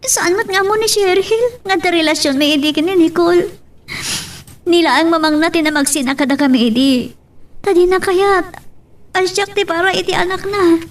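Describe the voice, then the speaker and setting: High-voiced Ilocano daughter played by a woman. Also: normal speed. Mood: reassuring. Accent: Ilocano Filipino. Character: reassuring young relative speaking to mother. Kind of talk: audio drama acting. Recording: slightly distant mic.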